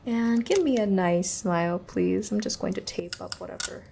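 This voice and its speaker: A woman playing a gently unsettling inhuman examiner in an ASMR horror roleplay, softly instructing and reassuring, drifting into whispers close to the microphone. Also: mid-range voice, moderate pace, gravelly, a little hiss or hum, close to an everyday voice. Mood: soothing, clinical. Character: gently unsettling female entity examiner preparing a human.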